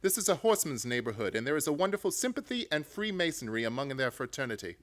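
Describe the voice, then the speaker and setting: An actor playing a shrewd, commanding gentleman detective with a precise manner in a radio play. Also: fairly high pitch, big pitch swings, quick pace. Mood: measured, shrewd. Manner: precise, decisive, authoritative.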